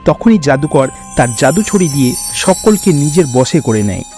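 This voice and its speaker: A man's voice: on the high side, big pitch swings, normal speed, a little mellow, soft background music. A man narrating a scripted children's fairy tale as storyteller.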